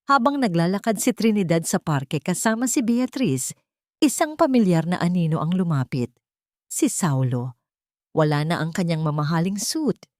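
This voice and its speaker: A woman's voice: fairly low pitch, darkish tone, no room sound, clean studio sound. A woman with a Filipino accent narrating a scripted dramatic story as an audiobook storyteller.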